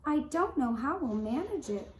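Woman voicing a kind, hesitant little storybook mouse, high-pitched. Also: very animated, moderate pace. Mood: worried. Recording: roomy echo, faint background noise.

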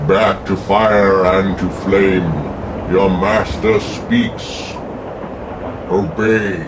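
A man's voice: low-pitched, far from the mic, pitched below the performer's own voice. A man voicing an imperious, menacing ghoul sorcerer in grandiose, commanding radio horror style. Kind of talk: old-time radio horror acting. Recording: noisy, off-mic recording.

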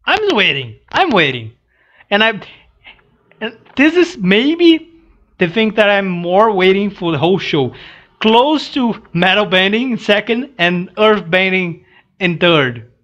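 Slow, halting young man, non-native English. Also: high-pitched, sing-song, fairly bright tone. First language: not English. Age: young adult. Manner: halting, hesitant phrasing. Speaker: reaction channel host. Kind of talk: casual reaction commentary. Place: small room indoors. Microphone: headset mic. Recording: dull lo-fi headset audio.

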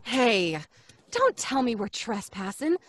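Brash young radio-play wisecracker, wildly swooping pitch. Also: female voice, big pitch swings, slow, exaggerated voice. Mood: brash, teasing. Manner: breezy, slangy, theatrical. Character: brash, wisecracking, high-spirited young woman hiker. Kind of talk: old-time radio drama acting.

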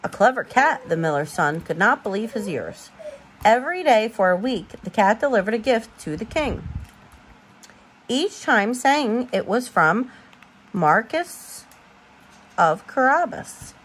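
A woman reading a bedtime storybook aloud as a parent, expressive and informal, stumbling now and then over words. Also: on the high side, unhurried, slight background noise.

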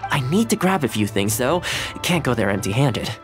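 Male actor as cheerful young anime man, lively swooping intonation, laid-back, polite, video game dialogue. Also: medium pitch, moderate pace, faint music behind, natural voice. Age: young adult. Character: friendly, easygoing young male anime housekeeper.

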